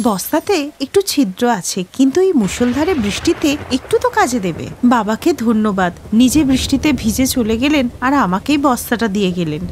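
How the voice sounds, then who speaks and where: A woman's voice: a little high, lively intonation, fairly quick, hardly any pauses, slight background noise, pitched below the performer's own voice, lightly acted. A woman voicing a poor, kind snack seller in a cartoon, earnest in tone. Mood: grateful.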